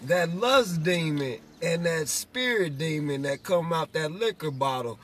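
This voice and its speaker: A man's voice: a little high, animated, unhurried, a bit bright, a little hiss or hum. An American man chatting casually and agreeably, often repeating himself. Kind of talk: casual chat.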